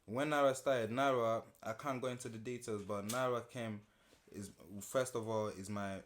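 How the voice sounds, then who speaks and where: A man in his thirties from Nigeria, with a voice sitting at an ordinary middle pitch. He tells his stories in a relaxed, casual way, full of slang and easy laughter, like a guest settling into an interview. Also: normal speed, a little hiss or hum. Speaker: music producer and interview guest.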